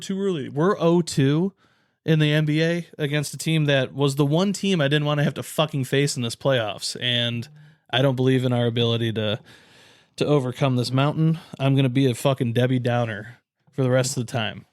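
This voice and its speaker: A man's voice: mid-range voice, fairly even intonation, fairly quick, no room sound, studio quality. A man co-hosting a podcast, venting in a profane, frustrated rant during casual sports chat. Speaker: podcast co-host.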